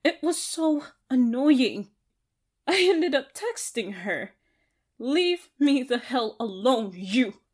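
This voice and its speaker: Girl doing a crazy possessive captor lady for asmr roleplay, high pitched, slow n deliberate, obsessive and gloaty. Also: expressive, full character voice. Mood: indignant, menacing. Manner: deliberate, theatrical, broken by giggles.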